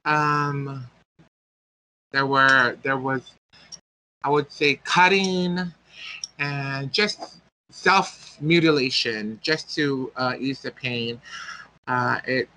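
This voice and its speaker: A man's voice: mid-range voice, very slow, pauses often, pushed and bright, rough recording. A man, telling a personal story as a guest speaker and often searching for words. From American Samoa.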